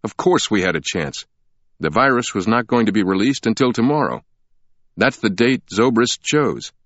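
A man's voice: on the low side, animated, moderate pace, a bit bright, dry recording, voice pushed up. A man reading the part of a skeptical middle-aged professor in an audiobook, firm and disbelieving. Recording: dry, slightly muffled recording.

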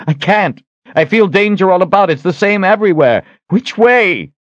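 A man's voice: medium pitch, expressive, moderate pace, a little mellow, no room sound, lo-fi sound, pitched above the performer's own voice, lightly acted. A man playing an urgent, determined hero of a fantasy audiobook, intense and insistent in manner. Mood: panicked.

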